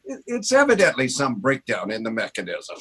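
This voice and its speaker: A man's voice: mid-range voice, huge pitch swings, fairly quick, a bit bright, breathy edge, full character voice. A man playing a puzzled, dismissive robot factory expert in a 1930s-style radio drama.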